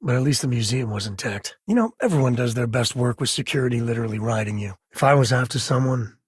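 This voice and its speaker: Casting: wry space-frontier cowboy for a game, husky mid-range voice, folksy, easygoing, close dry sound. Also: male voice, mid-range voice, animated, fast talker, no room sound. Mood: wry, casual. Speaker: video game voice actor. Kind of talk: acted video game companion dialogue.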